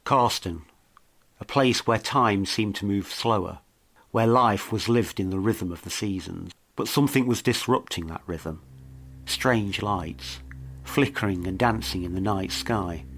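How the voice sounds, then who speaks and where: A man reading scripted mystery narration in a measured, dramatic storytelling manner. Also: lowish voice, a bit bright. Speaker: documentary podcast narrator.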